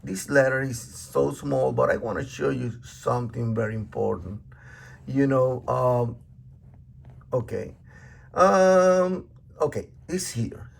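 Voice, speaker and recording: Hebrew-speaking guy explaining in broken english, slow and raspy, lots of restarts. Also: a little high. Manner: halting, searching for words, many restarts and fillers; earnest and emphatic. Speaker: Bible teacher. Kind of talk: casual talk to camera.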